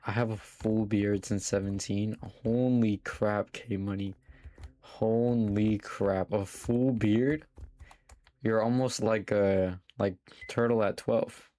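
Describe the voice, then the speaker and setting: A man's voice: fairly low pitch, steady tone, slow, warm tone. A young adult man chatting casually to his chat while playing a game live, swearing now and then. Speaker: streamer. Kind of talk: casual live gaming commentary.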